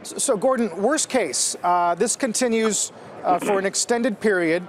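A man anchoring a live news interview, framing questions in a halting way with repeated words. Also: high voice, a little hiss or hum, poor audio.

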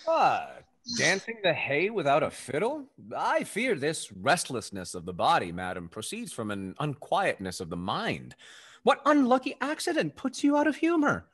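A young man playing a suave, faithless gentleman rake in a Restoration comedy, glib and mocking. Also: fairly high pitch, wildly swooping pitch, normal speed, husky, exaggerated voice. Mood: mocking, teasing. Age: young adult. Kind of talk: theatrical period stage acting over video call. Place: dim indoor room, on video call.

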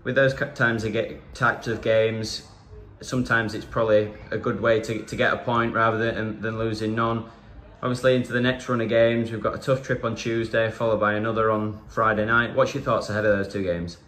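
A man asks post-match questions from off camera in a conversational, stumbling way. His voice sits lower than most men's. The room around him is echoey, with a faint background hiss. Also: level tone, brisk, a bit bright. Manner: conversational, stumbling over words. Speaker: club media interviewer.

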